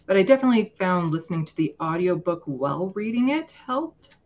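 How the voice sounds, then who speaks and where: A woman's voice: lowish voice, animated, brisk, darkish tone, muffled recording. A woman speaking as a guest in a casual political podcast discussion, explaining her views conversationally.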